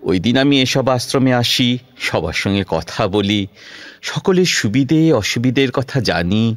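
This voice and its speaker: A man's voice: mid-range voice, expressive, a little slow, no echo, natural voice. A man playing a polite, patient visiting doctor in an audio drama, courteous and a little hesitant. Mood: patient, mild. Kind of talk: Bengali audio drama acting.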